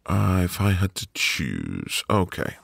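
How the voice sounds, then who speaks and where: A man playing a sweet, reassuring newlywed husband in an intimate ASMR roleplay, with a gravelly, breathy voice and a gentle manner. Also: low voice, huge pitch swings, no echo, studio quality, exaggerated voice. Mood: gentle, admiring. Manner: slow, gentle, soothing. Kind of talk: ASMR boyfriend roleplay, intimate soft delivery.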